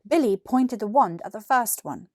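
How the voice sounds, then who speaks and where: British female storyteller, twenties, scripted children's narration, lively intonation, dry sound. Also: fairly high pitch, normal speed, no room sound. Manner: clearly articulated, animated. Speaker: actor reading a children's story. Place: small furnished room indoors. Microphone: lapel mic.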